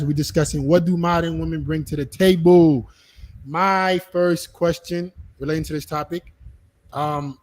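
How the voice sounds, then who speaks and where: Man, podcast host, pushy, interrupting, higher-pitched voice, repeats himself. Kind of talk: casual panel discussion.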